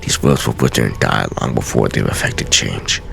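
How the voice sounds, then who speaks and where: A man playing a solemn, brooding scholar reading out secret journal pages in a grave, measured, theatrical way for a game. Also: very deep voice, creaky, a bit bright, slight background noise, exaggerated voice. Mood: grave, wondering. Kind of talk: dramatic reading of game lore.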